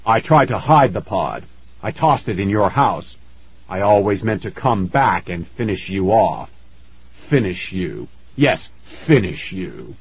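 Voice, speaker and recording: A man's voice: on the low side, animated, unhurried, breathy edge, dry recording, muffled recording, exaggerated voice. A man voicing a vengeful male ghost villain in a children's horror audiobook, menacing and declamatory. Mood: cold menace.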